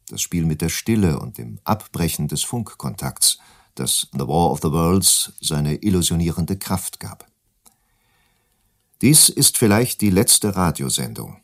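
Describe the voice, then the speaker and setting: Deep guy, reading radio documentary narration.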